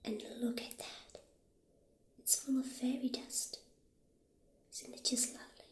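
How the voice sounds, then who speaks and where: Soft, slow-talking witch shopkeeper, played by a woman. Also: sits high, little pitch movement, reverberant. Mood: softly admiring. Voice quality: soft, breathy, half-whispered. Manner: gentle, unhurried, hesitant pauses. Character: friendly witch shopkeeper showing off potion ingredients. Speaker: ASMR roleplay performer. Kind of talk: soft-spoken ASMR roleplay.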